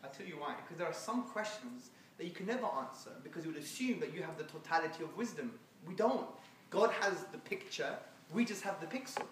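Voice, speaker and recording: Male speaker answering questions live, earnest with jokes, high voice, far off in a roomy hall. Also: lively intonation, a little fast, slight background noise. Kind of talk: live Q&A talk. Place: lecture room. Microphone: phone recording from the audience. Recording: echoey room heard from afar.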